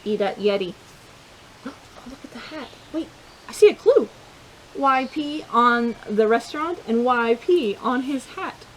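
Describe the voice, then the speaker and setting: A woman hosting a children's storytime, reading a picture book aloud in an animated, playful way, with chatty asides to her young listeners. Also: high-pitched, a little hiss or hum, rough recording.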